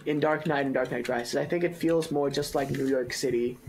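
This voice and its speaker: A man's voice: mid-range voice, steady tone, fairly quick, darkish tone, a little hiss or hum. A man co-hosting a film podcast, speaking casually and often saying "you know" and "like". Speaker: podcast co-host.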